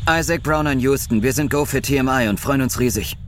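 A man's voice: mid-range voice, brisk, a bit bright, slight background noise, lightly acted. A man playing a subdued, conciliatory but firm astronaut in an audio drama. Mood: official.

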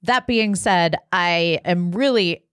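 A woman's voice: fairly low pitch, slow, clear, resonant tone, dry recording. Woman hosting, dry close sound. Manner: appreciative, earnest. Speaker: podcast host. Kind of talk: casual podcast hosting. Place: remote video chat recording.

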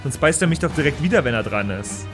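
A man's voice: on the high side, expressive, quick pace. A man talking casually as a player in a tabletop role-playing game. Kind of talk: casual improvised game chat. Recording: music behind the voice. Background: background music.

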